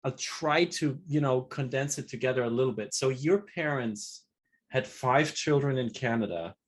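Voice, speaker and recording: A man hosting an online interview, asking questions in a halting way with fillers and restarts. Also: mid-range voice. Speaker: host. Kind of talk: interview host.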